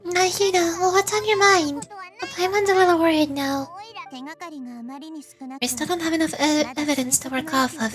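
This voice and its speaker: Woman acting very high, childlike fairy girl. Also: natural voice. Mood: urgent, then grave. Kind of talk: video game dialogue acting.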